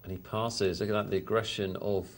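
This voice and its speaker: A man's voice: on the low side, level tone, fairly quick, vocal fry. A man hosting a live poker show, commenting on hands in a chatty, hesitant way with lots of fillers. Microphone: studio mic.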